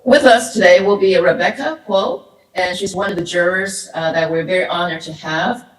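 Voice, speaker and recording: A woman interviewing a guest at a festival, enthusiastic and quick to laugh. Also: on the low side. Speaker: interviewer, host. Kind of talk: panel interview. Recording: echoey hall.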